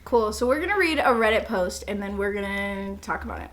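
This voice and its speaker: A woman's voice: medium pitch, lively intonation, a little fast, a bit bright. A young adult woman co-hosting a podcast in casual chat, playful, giggly and teasing. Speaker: podcast co-host.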